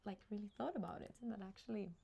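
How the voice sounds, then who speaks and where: A woman from Finland hosting a casual interview, chatty and animated, often laughing as she talks. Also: mid-range voice, very fast, creaky, breathy edge. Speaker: interview host.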